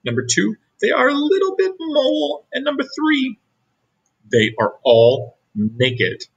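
Middle-aged man, children's storybook read-aloud, unhurried, lively intonation, slightly rough voice. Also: very high-pitched, lo-fi sound. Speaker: storybook reader.

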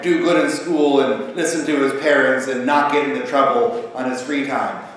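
Pastor preaching in an echoey sanctuary. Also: male voice, on the high side. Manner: conversational, animated, gesturing at the pulpit. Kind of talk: sermon. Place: large church sanctuary with pipe organ. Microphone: far camera across the sanctuary. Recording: echoey sanctuary from far off, faint hiss.

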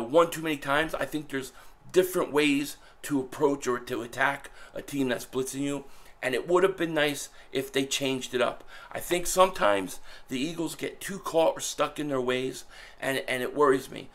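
Hoarse gravelly man ranting about football. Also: on the high side. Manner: animated, fan talk with frequent restarts. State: losing his voice, hoarse. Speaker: sports vlogger. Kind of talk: casual sports commentary vlog. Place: small room at home.

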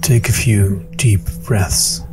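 Man, guided meditation, lowish pitch, very slow delivery, soothing, long pauses, clear music behind. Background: meditation music with singing bowls.

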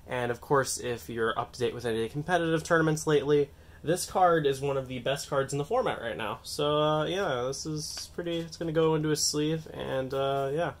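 A young man with a nasal voice from a cold, chatting excitedly and rambling as he opens trading card packs on a table. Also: fairly high pitch, normal speed. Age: young adult. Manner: excitable, rambling, self-mocking. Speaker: card-opening channel host. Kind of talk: casual unboxing vlog. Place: indoors at a table, hands on camera.

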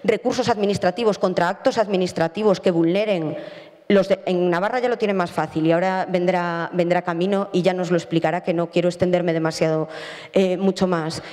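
A woman's voice: lowish voice, steady tone, fairly quick, darkish tone. A woman in her forties giving a formal conference talk on stage, speaking earnestly into a handheld microphone and arguing her points with frequent self-corrections. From Spain. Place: large auditorium stage. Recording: long hall reverb.

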